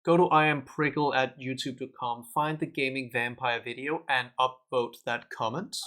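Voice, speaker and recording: A man co-hosts a stream with a bright, forward tone. His pitch is middling. He is playful and laughs readily while he plugs the channel. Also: steady tone, normal speed. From not Australia. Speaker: stream co-host. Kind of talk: casual stream chat.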